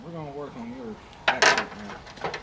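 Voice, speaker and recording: Joking Oklahoma man in a noisy garage. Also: moderate pace. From Arkansas and Oklahoma, USA. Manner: teasing, joking country talk. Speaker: mechanic friend helping pull an engine. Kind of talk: casual chat while working. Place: home garage. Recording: loud shop noise.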